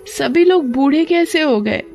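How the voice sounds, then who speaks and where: A woman's voice: high voice, lively intonation, measured pace, darkish tone, voice pushed up. A woman voicing an earnest young elder sister. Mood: anxious. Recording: dull lo-fi audio, faint music.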